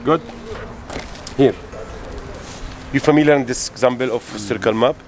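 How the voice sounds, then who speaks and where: A man's voice: mid-range voice, slight background noise. Earnest man guiding a tour. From Palestine. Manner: earnest, halting English with grammar slips. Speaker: tour guide. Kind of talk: guided tour explanation.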